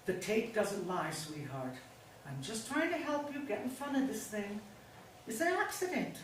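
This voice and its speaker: A woman's voice: medium pitch, sing-song, moderate pace, natural voice. A woman playing a manipulative middle-aged pharmacist's wife in a stage play reading, coaxing and insistent with false sweetness. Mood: pressing, coaxing. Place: indoor staged reading, mic far off. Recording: very echoey room, distant mic, faint noise.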